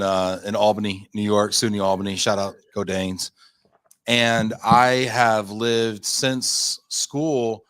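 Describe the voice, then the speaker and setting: A man's voice: fairly low pitch, a bit bright, no echo. A man in his thirties, a company founder being interviewed, telling his story in a chatty, self-deprecating, rambling way. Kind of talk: casual interview talk.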